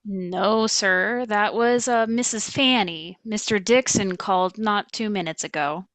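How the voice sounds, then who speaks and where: An actress playing a polite, dryly efficient receptionist at a manor in an audio play, with a measured, courteous manner. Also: female voice, mid-range voice, a bit bright, no room sound. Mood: deferential. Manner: measured, courteous phone-desk delivery.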